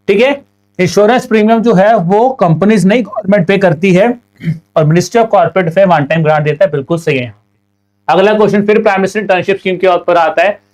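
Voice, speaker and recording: Indian male tutor, young, somewhat high voice, sore throat, slow reading, fast explaining in Hindi and English. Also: darkish tone. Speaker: exam prep teacher. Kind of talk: online class solving quiz questions.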